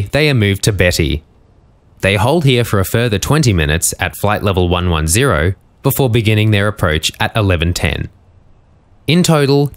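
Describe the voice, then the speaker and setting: A man with a fairly low voice reads scripted narration. He explains events step by step in a documentary style.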